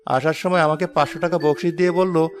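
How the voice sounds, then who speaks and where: An actor voicing a poor, gentle rickshaw-puller husband in a cartoon, consoling and philosophical. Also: male voice, on the high side, steady tone, fast talker, close to an everyday voice. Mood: earnest. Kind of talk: Bengali cartoon moral-story acting. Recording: music behind the voice.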